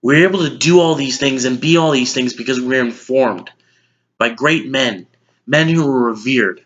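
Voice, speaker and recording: A man's voice: mid-range voice, normal speed, fairly bright tone, natural voice. Guy acting a fed up middle-aged news anchor, blunt lecture monologue, medium voice, muffled audio. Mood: solemn, wistful. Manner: emphatic, lecturing, list-driven delivery. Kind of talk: dramatic monologue. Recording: dull lo-fi audio.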